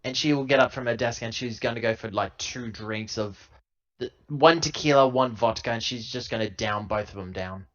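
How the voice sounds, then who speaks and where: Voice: medium pitch, normal speed. A player narrating a tabletop roleplaying game casually and jokingly, recorded on a dull lo-fi laptop setup.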